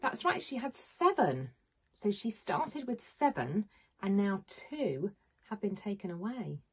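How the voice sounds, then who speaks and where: A woman, a teacher reading a picture book to young children in an expressive, questioning manner. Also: mid-range voice, measured pace. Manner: expressive, questioning, clearly addressing small children. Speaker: primary school teacher. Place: small home room with bookshelves, indoors.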